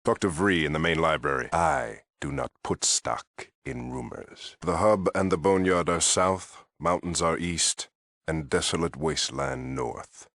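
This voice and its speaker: An actor playing a stern, proud paladin commander in a video game, talking in a clipped, formal, haughty way. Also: male voice, low-pitched, measured pace, a bit bright, dry recording, studio quality, heavily acted. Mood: brusque, formal. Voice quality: gravelly. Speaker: head of a military order. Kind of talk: dramatic video game dialogue.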